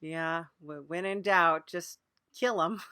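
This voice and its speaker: A woman with a fairly low voice hosts an improvised comedy interview. She speaks haltingly, with a deadpan manner. The recording is very dry, without room sound. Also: clean tone, no room sound. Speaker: podcast host.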